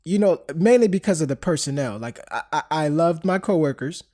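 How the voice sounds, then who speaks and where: A man's voice: fairly high pitch, animated, brisk, mellow. A man in his thirties hosting a podcast, stuffy from a cold, chatting loosely with many fillers and restarts. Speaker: podcast host. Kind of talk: casual podcast chat.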